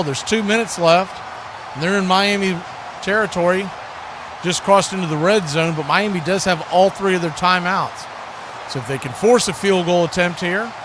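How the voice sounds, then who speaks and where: A man with an American accent doing excitable live play-by-play commentary of American football. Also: sits high. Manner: excitable, calling action as it happens. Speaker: sports play-by-play announcer. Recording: clear background noise.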